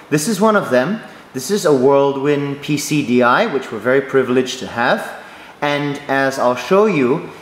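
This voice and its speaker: A man giving a step-by-step tutorial on church sound equipment, explaining clearly and methodically. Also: mid-range voice. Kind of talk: instructional voice-over. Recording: echoey room, faint noise.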